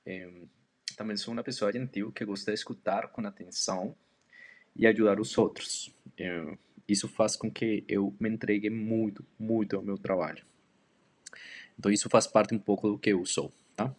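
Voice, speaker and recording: A man from Colombia with a Spanish-tinged accent introduces himself and his work as a dog educator, speaking in a measured way with hesitant pauses and drawn-out words. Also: on the low side, steady tone. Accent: Colombian Spanish accent in Portuguese. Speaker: dog trainer and canine educator. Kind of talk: self-introduction talk to a community.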